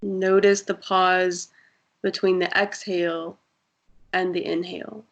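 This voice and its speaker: A woman guiding a breathing meditation in a soft, soothing voice with long pauses. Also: fairly low pitch, little pitch movement, highs cut off. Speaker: meditation guide.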